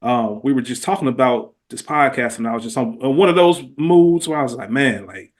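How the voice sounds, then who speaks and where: A man's voice: mid-range voice, a little fast. A man speaking as a podcast host in casual conversation, earnest and emphatic, often repeating phrases.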